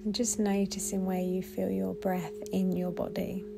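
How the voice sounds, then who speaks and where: A woman guiding a body scan meditation in a gentle, soothing, unhurried way with long pauses. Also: mid-range voice, little pitch movement, gravelly, over music. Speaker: meditation guide.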